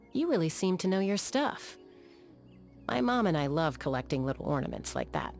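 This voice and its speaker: Game role: battle-hardened young female sky gunslinger. Lower-than-average pitch, calm, matter-of-fact delivery over background music. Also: gravelly, exaggerated voice. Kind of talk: video game character voice lines.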